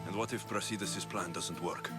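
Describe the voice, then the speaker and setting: An actor playing a blunt, commanding young warrior with a hot temper and a Greek accent in a video game. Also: male voice, low voice, normal speed, music in the background, natural voice. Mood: calm, resolute. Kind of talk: dramatic video game acting.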